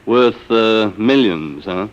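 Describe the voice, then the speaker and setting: A man's voice: lowish voice, lively intonation, takes it slowly, smooth. An actor with an American accent playing a stubborn, flustered businessman husband who stammers in a 1950s radio drama. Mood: hesitant, curious. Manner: stammering, exasperated. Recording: dull lo-fi old radio recording.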